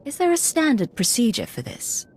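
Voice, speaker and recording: A woman's voice: high-pitched, wildly swooping pitch, normal speed, darkish tone. An actress playing a self-assured female imperial agent in a video game, controlled and wry. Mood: wry, professional.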